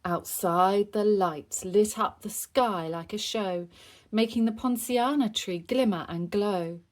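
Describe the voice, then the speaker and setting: A woman's voice: mid-range voice, slow pace. A woman reading a rhyming children's story aloud with expression. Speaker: storybook reader.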